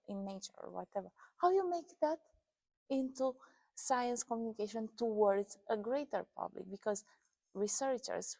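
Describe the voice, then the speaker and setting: A woman's voice: on the high side, dark tone. A woman from Romania, a guest in an interview, talking animatedly and often rephrasing as she goes. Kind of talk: casual interview conversation.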